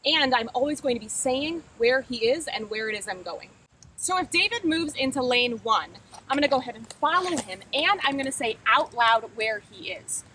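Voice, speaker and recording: Bright, high-voiced woman instructor. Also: expressive, moderate pace, a little hiss or hum, poor audio. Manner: clear, encouraging, projected instruction. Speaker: roller derby skater and instructor, read-aloud host. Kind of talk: upbeat drill instruction and reading aloud.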